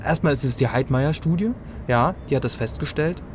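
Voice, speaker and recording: East german guy arguing casually, pushy and slangy, middle pitch, traffic noise behind. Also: brisk. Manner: insistent, slangy. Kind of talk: casual argumentative chat. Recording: clear vehicle and street noise.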